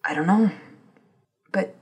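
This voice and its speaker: A woman playing an anxious, self-doubting scientist in an audio drama, breathy and halting as she searches for words. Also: lowish voice, darkish tone. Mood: anxious, hesitant. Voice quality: breathy, husky. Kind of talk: ASMR audio drama acting.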